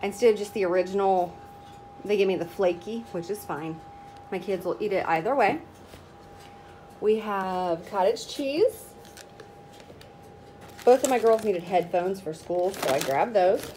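A woman's voice: medium pitch, a little slow, long pauses, warm tone, low-quality recording. A woman in her thirties casually chatting through her grocery haul, naming each item as she unpacks it. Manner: chatty, itemizing each product. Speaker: vlogger. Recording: noticeable background noise. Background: rustling bags and crinkling packaging.

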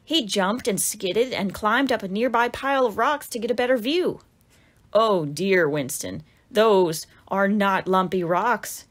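A woman narrates a children's picture book in a fairly high voice. Her reading is lively and expressive, with pitch that swings widely. She leans into dramatic exclamations as she tells the story to young listeners.

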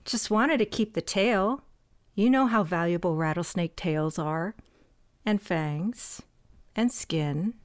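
An actress voicing a quiet, stubborn young woman who dabbles in magic, in an audio drama, speaking plainly and earnestly. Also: lowish voice, slow, no echo. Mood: defensive. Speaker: shop heir and cousin.

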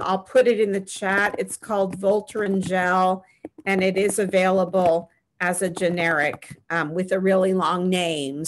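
A middle-aged woman on a video call panel, giving earnest practical advice and often pausing with "um". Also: fairly low pitch. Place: small home room with framed pictures. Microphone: webcam on a video call.

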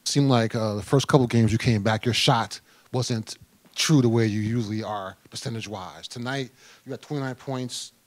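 A man's voice: mid-range voice, normal speed, dry recording. A man reporter asking a player questions in a casual way at a press conference.

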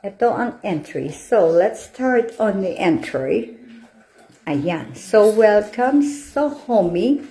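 A woman's voice: medium pitch, expressive, warm tone, slightly rough. A woman with a Filipino accent giving a playful, chatty house tour, switching between Filipino and English as she walks from room to room with a handheld phone. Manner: playful, mixes Filipino and English, slow drawn-out phrasing. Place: indoors in a house, walking room to room. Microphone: handheld phone camera.

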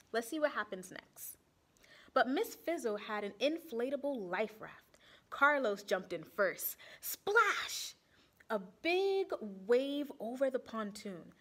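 A young woman with a high, lively voice reads a children's picture book aloud. Her pitch moves up and down a lot, and she speaks expressively, turning to ask her young listeners questions. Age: young adult. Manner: animated, asks the children questions. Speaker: storytime reader for children.

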